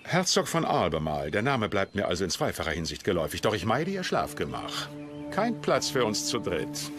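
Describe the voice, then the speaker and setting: An actor playing a wry, teasing English king in a German docudrama, with regal, deliberate delivery. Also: fairly low pitch, wildly swooping pitch, over music. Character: male English king, jovial and teasing, commanding. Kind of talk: docudrama dialogue in German dub.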